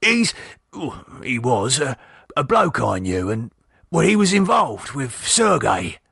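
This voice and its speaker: A man voicing an anxious working-class husband, speaking in nervous, halting, pleading bursts. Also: mid-range voice, very animated, vocal fry, fairly bright tone, husky, no echo, clean studio sound, pitched above the performer's own voice, heavily acted. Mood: worried.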